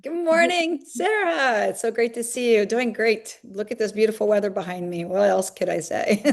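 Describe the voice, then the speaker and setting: A woman's voice: fairly high pitch, normal speed, gravelly. A woman in her fifties, a fitness company founder, talking upbeat and at length in a webinar interview over a video call, with frequent fillers.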